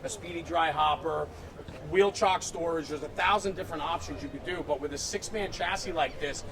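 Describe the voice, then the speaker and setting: High-pitched man, emphatic walkaround host. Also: fairly quick. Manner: emphatic, engaged, peppers talk with "right?". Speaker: host of a fire apparatus walkaround. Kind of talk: enthusiastic unscripted walkaround commentary. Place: outdoors at a busy convention. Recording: outdoor crowd and vehicle noise.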